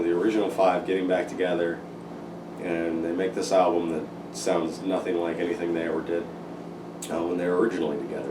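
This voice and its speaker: Casual male reviewer, very low pitch, halting delivery, voice still worn; roomy, distant, noisy recording. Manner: rambling, hesitant, many ums and likes. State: recovering from losing his voice. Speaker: music reviewer. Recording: echoey room, distant mic, noisy.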